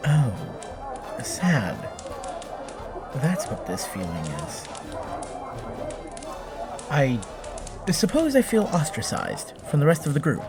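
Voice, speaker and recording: An earnest young android in an audio drama. His phrasing is stiff, formal and literal, yet the pitch moves very widely. Clear music plays behind him. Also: huge pitch swings, takes it slowly, pauses often, crowd noise, exaggerated voice. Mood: wistful. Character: earnest, literal-minded newborn male android made of fire.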